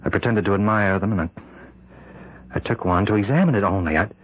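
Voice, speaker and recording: A man acts out an anguished prison warden from an old radio play. The voice is very low and husky, halting and repetitive, full of dread. The muffled recording sounds like vintage radio. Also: no room sound, natural voice. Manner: halting, repeating words, anguished. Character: tormented prison warden husband, fearful he is possessed. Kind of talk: old-time radio drama acting.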